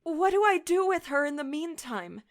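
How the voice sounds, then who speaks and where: Flustered, eager-to-please office secretary played by a woman, high and anxious, audio drama. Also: sing-song, normal speed. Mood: flustered, apologetic. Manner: anxious, deferential. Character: flustered, apologetic, deferential female secretary.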